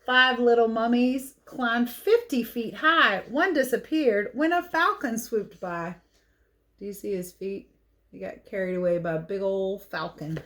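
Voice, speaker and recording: Woman, children's read-aloud teacher. Expressive storybook delivery mixed with casual, chatty asides. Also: a little high, measured pace. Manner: expressive, chatty, explains as she goes. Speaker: teacher reading picture books aloud.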